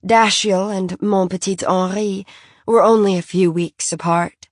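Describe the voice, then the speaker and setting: A woman's voice: mid-range voice, steady tone, measured pace, close to an everyday voice. A woman reading an audiobook, voicing a genteel, fussy mother who talks in a prim, gushing and insistent way. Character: genteel fussy francophile mother, high-strung.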